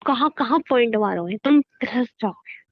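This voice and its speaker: A woman's voice: fairly high pitch, expressive, normal speed, no room sound, lo-fi sound. A woman playing a feisty, playfully sulky wife who argues conversationally in a domestic audio drama. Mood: accusing. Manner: pouty, argumentative, conversational. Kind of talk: improvised-sounding domestic audio drama, casual Hindi banter.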